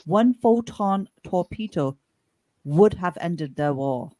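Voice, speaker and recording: A resolute, principled starship captain , played by a woman speaks in a lowish voice with formal authority. It is an amateur sci-fi radio play read on a close, dry mic. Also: animated, takes it slowly, warm tone, no room sound, low-quality recording. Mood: grave, formal.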